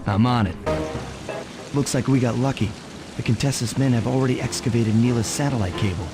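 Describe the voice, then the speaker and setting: A man's voice: medium pitch, a little fast, natural voice. Man as a suave, wry cartoon master thief. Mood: wry, breezy. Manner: breezy, dry-witted. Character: suave, wry, laid-back young male master thief. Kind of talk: cartoon video game voice acting. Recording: music behind the voice.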